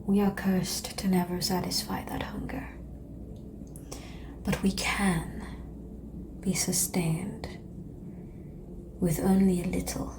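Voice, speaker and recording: A woman playing a calm, seductive ancient vampire in an ASMR roleplay, speaking softly and breathily, often whispering, with formal, measured phrasing. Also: on the low side, poor audio. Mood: solemn, explanatory. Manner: slow, measured, formal phrasing with long pauses. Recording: clear background noise and ambience.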